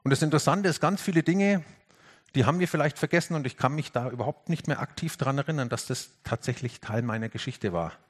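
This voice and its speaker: A man giving a reflective sermon, earnest, rising to emphatic lifts and sinking to hushed, quiet passages. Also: mid-range voice, fast. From Franconia, Germany.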